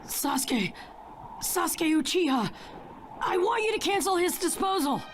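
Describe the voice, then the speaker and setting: A woman's voice: very high voice, animated, unhurried. A woman voicing a hot-tempered, loyal teenage boy ninja in a game cutscene, earnest and fiery. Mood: earnest pleading. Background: game music.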